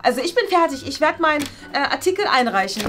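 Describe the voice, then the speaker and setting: Woman as keen student journalist, high voice, stumbling enthusiasm, children's skit. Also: very animated, fast talker, fairly bright tone, soft background music. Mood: relieved, puzzled. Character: eager, ambitious schoolgirl aspiring to be a journalist. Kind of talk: children's comedy skit acting. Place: small classroom.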